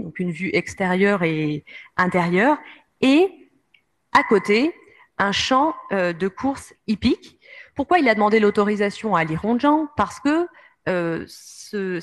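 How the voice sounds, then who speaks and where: A woman with a French accent giving a history lecture from slides, hesitating often with fillers. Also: mid-range voice. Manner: many hesitations, frequent "euh".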